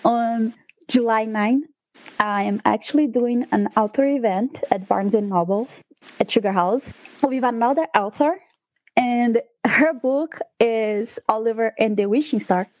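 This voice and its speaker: A woman's voice: a little high, unhurried, darkish tone, dry recording, rough recording. A young woman from Brazil with a Brazilian Portuguese accent, chatting casually as a guest author with lots of fillers and laughs. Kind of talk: casual interview chat.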